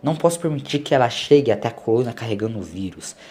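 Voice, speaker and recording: A man's voice: medium pitch, lively intonation, quick pace, dark tone, lightly acted. A Brazilian man voicing a confident, resolute time-travelling doctor in an audio story. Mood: hushed, resolute.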